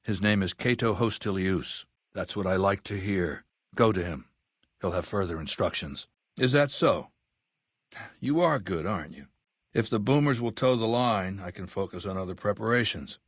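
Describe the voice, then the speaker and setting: A ruthless male warlord from a video game, with a lowish voice in a very dry recording. He speaks deliberately and with imperious command. His sardonic, menacing manner makes it clear he expects to be obeyed. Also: dry recording. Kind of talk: video game character dialogue.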